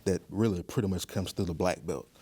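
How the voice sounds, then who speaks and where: Low-voiced man explaining conversationally. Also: fairly quick, dark tone, slightly rough, no room sound. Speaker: museum director, podcast guest. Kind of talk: conversational interview.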